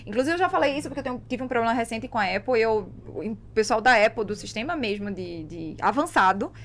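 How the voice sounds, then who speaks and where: Fast-talking Brazilian woman, high voice. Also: animated, slight background noise. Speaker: podcast host and mentor. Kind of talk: casual podcast conversation.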